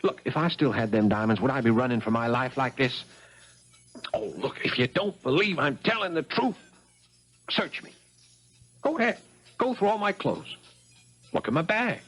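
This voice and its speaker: An actor playing a jittery, folksy American thief on the run in a 1970s radio drama. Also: male voice, medium pitch, moderate pace, long pauses, breathy edge. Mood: desperate, pleading. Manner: folksy rural American speech, excitable. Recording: muffled vintage radio broadcast.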